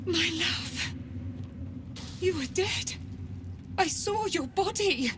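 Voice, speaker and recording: A woman's voice: extremely high pitch, expressive, extremely slow, a bit bright, full character voice. A woman playing a devoted, noble warrior lover in a video game cutscene, solemn and impassioned. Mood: overwhelmed. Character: devoted, noble female warrior reunited with her love. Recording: clear background noise and ambience.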